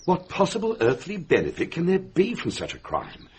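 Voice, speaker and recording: An actor playing an incredulous, articulate upper-class gentleman in a radio drama, emphatic and formal. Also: fairly high pitch, wildly swooping pitch, normal speed. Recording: noisy old radio drama recording.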